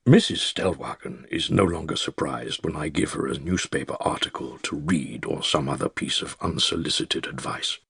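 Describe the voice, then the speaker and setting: An actor playing an elderly male care-home resident with a British accent, narrating his secret diary in a dry, wry, measured and articulate way with a gravelly, husky voice. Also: extremely low pitch, fairly bright tone, no echo, full character voice. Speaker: audiobook narrator. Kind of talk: scripted first-person diary narration.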